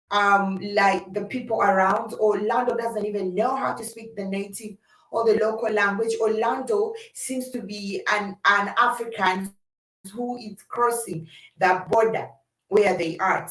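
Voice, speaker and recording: A woman's voice: mid-range voice, a bit bright, distant mic. Earnest woman hosting a livestream. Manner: earnest, speaking directly to viewers. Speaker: livestream host. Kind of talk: casual livestream talk. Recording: roomy sound from away from the mic.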